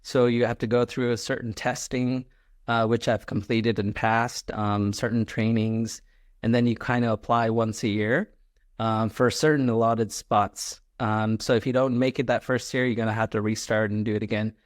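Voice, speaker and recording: A man from Malaysia, a podcast guest, answering questions in a relaxed, halting way with many ums and uhs. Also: fairly low pitch, dry recording, studio quality. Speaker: guest, clinical scientist. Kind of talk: interview answers.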